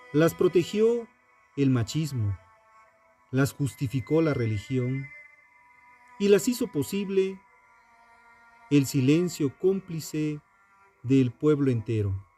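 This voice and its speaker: Slow, mellow man narrating true crime. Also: medium pitch. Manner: solemn, deliberate phrasing with dramatic pauses.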